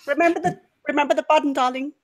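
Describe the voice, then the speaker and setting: A woman's voice: high-pitched, steady tone, rapid-fire. A woman taking part in a tabletop role-playing game, improvising her character's lines and narrating her actions.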